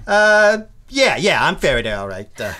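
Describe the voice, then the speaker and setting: A man's voice: fairly high pitch, big pitch swings, moderate pace, pushed and bright. An actor playing a nervous, polite young sound-effects man in an old-time radio play, stammering and hesitant. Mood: awkward, nervous. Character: nervous young male Foley artist, polite newcomer.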